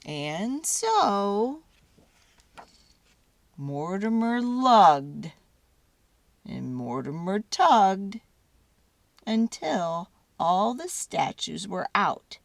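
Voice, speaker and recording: A woman reading a children's storybook aloud dramatically, with theatrical, deliberate phrasing and drawn-out words. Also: mid-range voice, sing-song, vocal fry, dry recording.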